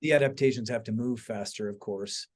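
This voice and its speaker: A man with a medium-pitched voice hosts a podcast discussion. He speaks informally and with engagement, as in a relaxed chat between colleagues, often checking that his listener follows. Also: brisk. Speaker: podcast host. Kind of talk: casual conversational discussion.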